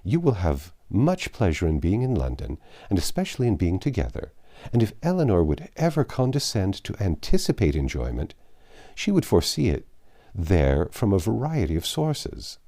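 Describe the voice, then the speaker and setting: A man reading aloud a fond, affectionate mother who talks in a brisk, coaxing way. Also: deep voice, sing-song, warm tone, full character voice. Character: warm, fond older mother, woman.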